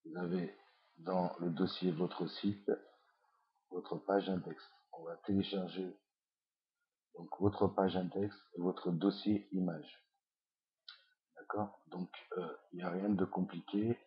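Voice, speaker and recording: A man's voice: sits low, flat delivery, takes it slowly, pauses often, slightly rough, muffled recording. A man with a head cold, giving a hesitant screencast tutorial as an online course instructor, with many fillers.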